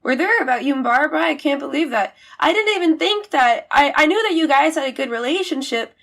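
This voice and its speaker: A woman's voice: high-pitched, animated, fairly quick, fairly bright tone. A woman asking probing questions in a casual, hesitant way on a recorded call. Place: phone or online call.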